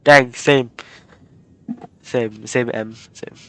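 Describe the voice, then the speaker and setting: A man's voice: medium pitch, fairly even intonation, extremely slow, a bit bright, smooth, dry recording. A man streaming a video game, giving informal, rambling live commentary in a casual, chatty way. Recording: game music behind the voice.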